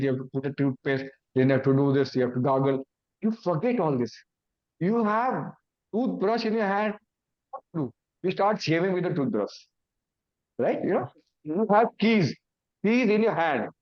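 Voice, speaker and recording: A man's voice: fairly high pitch, lo-fi sound. A man with an Indian English accent, a native Hindi speaker, giving an awareness talk in simple, repeated phrases. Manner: explains in simple repeated phrases, acting out confused thoughts. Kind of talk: awareness talk with everyday examples.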